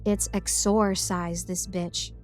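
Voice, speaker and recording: A woman's voice: medium pitch, flat delivery, fairly quick, dark tone, faint music behind, lightly acted. A woman voicing a small male toy guardian, grave and earnest, in an audio story. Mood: stern.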